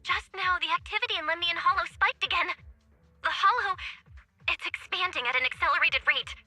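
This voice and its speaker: A woman's voice: very high voice, a little fast, bright, forceful tone, soft background music, full character voice. An actress playing a serious, caring young female mission operator giving briefings in a video game. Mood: concerned, serious. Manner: informative, concerned.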